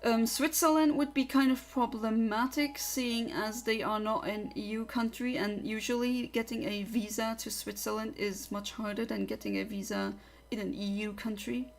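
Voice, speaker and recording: A woman's voice: on the high side, fairly even intonation, normal speed, hardly any pauses. Chatty young woman. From Luxembourg. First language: Luxembourgish. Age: young adult. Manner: chatty, opinionated, rambling. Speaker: livestreamer. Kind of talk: casual livestream chat.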